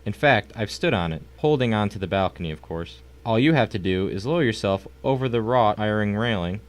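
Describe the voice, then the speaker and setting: Guy doing a smug rich villain in a radio play, lowish voice, oily polite, toying with his victim. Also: animated, lightly acted. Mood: smug, genial. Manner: suave, mannered, drawn-out politeness. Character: smug, courteous wealthy crime boss, toying with his victim. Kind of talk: audio drama acting.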